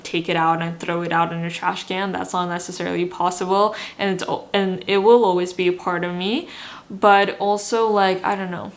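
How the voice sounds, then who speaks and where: Chatty young woman from Azerbaijan. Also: medium pitch. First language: Azerbaijani, first language Russian. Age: 20s. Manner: chatty, frequent "like" fillers, reflective. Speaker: vlogger. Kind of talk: casual vlog chat.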